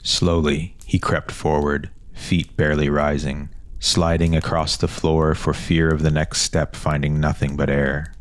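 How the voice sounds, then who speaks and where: A man's voice: very deep voice, little pitch movement, unhurried, dry recording. A man narrating a scripted fantasy story as a storyteller.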